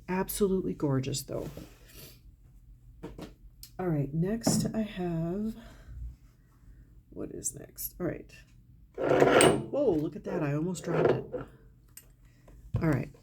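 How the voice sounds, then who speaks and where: A middle-aged woman talks casually and candidly to her viewers about perfumes she bought. Her voice sits low and mellow with a gravelly edge. She sounds a little congested from a cold, and she is openly enthusiastic. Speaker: perfume reviewer, channel host. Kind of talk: casual product haul talk to camera.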